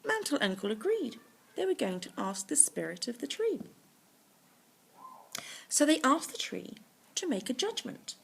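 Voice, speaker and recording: A woman reading a bedtime story to children, pausing to explain things simply in little asides. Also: high voice, very animated, gravelly, husky. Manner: explains words simply to young listeners.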